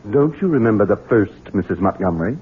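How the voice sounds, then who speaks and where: A sly Southern gentleman in an old radio mystery. His voice is low and very husky, swinging between sugary courtesy and menace, through a tinny, muffled broadcast sound. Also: lively intonation, fairly quick. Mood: menacing. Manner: mock-courteous, domineering. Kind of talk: 1970s radio mystery acting.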